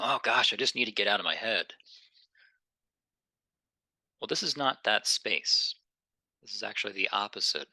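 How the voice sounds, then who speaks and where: A man leading a guided meditation in a gentle, unhurried, conversational way, pausing between phrases. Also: lowish voice, no room sound, rough recording.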